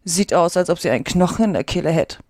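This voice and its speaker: A woman voicing an excitable, brusque male gryphon from a storybook, with emphatic exclamations. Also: lowish voice, fast, dry recording, clean studio sound. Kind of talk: storybook character reading.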